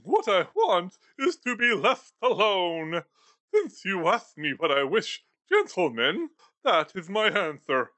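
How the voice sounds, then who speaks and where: A man's voice: high voice, sing-song, slow pace, sharp tone, dry recording, full character voice. A man voicing a bitter, miserly old male moneylender in a storybook reading, scornful and sarcastic. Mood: coldly dismissive.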